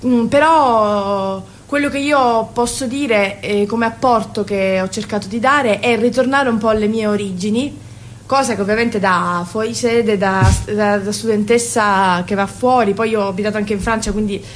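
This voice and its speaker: A woman's voice: mid-range voice, moderate pace, a little hiss or hum. A woman from Sicily, an actress, speaking reflectively as a guest in a radio interview. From Sicily, Italy.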